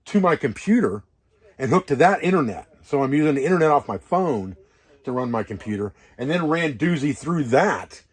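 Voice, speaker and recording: A man's voice: medium pitch, lively intonation, normal speed, slightly rough. A man with a mid-range voice hosts an instructional vlog in a casual register. He explains in a loose, rambling, practical manner, like an instructor walking viewers through a setup. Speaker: outdoor preparedness instructor and video host. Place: wall tent at a hunting camp.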